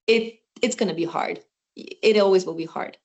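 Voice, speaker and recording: A young woman doctor speaks on a phone call and explains her daily hospital work step by step. She keeps her pitch at an ordinary middle level and pauses often with small fillers as she goes. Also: a little mellow. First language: not Swedish. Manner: explains steps plainly, with many fillers. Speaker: guest doctor. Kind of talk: online presentation talk.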